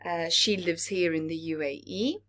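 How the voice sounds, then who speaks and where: A woman reading a story aloud to young children as a storyteller, expressively, pausing to explain and ask questions. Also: lowish voice. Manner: expressive, asks the listeners questions.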